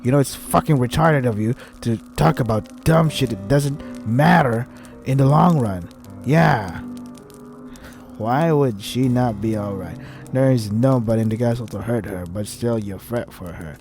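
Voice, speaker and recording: A man's voice: mid-range voice, unhurried, creaky, a little mellow, faint music behind. A man reading a visual novel aloud and commenting on it in an irritated, sarcastic way with heavy swearing. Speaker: let's play host.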